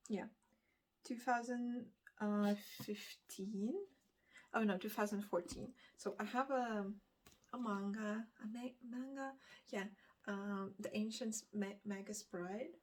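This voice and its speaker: Woman from lithuania, lithuanian native speaker, just chatting to camera like a book vlogger, kinda rambly and unsure, corrects herself, medium pitch. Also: fairly even intonation, slow pace, slight background noise. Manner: hesitant, rambling, self-correcting. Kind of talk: casual unscripted chat to camera.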